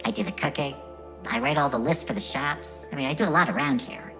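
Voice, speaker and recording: A man's voice: a little high, lively intonation, fast talker, over music. A man voicing an indignant little pseudodragon servant in a tabletop game, whining, blustering and swearing. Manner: whiny, protesting, blustering. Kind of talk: tabletop roleplay.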